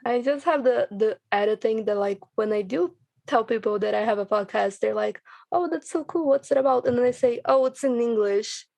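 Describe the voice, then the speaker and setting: Brazilian-accented woman with a creaky voice. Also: a little high, moderate pace, a little mellow, no room sound. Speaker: audio drama podcast creator and voice actor. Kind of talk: casual panel chat.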